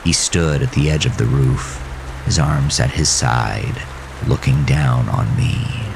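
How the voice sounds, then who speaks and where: A man playing a haunted, bitter farmer who narrates his horror story in a grave, brooding, gravelly voice. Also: extremely low pitch, slow, background noise, heavily acted. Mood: pained, slow. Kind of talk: scripted first-person horror narration.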